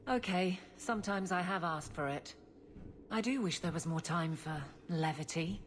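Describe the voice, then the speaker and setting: An actress playing a blunt, proud captain of a city guard in a video game, speaking in a dry, firm, no-nonsense manner. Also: female voice, fairly low pitch, normal speed, close to an everyday voice. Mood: candid, teasing. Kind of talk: video game cutscene acting. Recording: game audio with background ambient noise.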